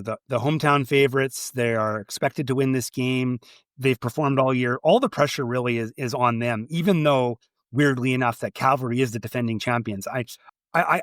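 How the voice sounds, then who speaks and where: A man's voice: mid-range voice, dry recording, clean studio sound. A man hosting a casual sports podcast, nasal from a slight cold, talking in a hesitant way with many restarts. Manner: hesitant, restarts often with "uh" and "you know". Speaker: podcast host.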